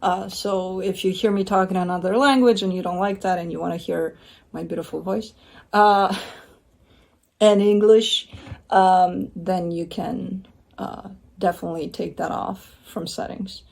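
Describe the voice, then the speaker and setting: A woman's voice: fairly low pitch, steady tone, unhurried, creaky, a little mellow. A woman giving a casual tarot reading at home, talking in a chatty, rambling way with blunt asides. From Romania. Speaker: tarot reader. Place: home by a window with a bird feeder.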